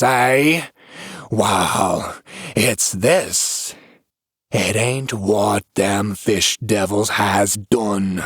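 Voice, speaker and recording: A man's voice: on the low side, gravelly, fairly bright tone, husky, dry recording, studio quality, exaggerated voice. A man voicing a crazed, frightened old man in an audiobook, ranting and muttering in frantic rustic dialect. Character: crazed, terrified old New England drunkard ranting of horrors.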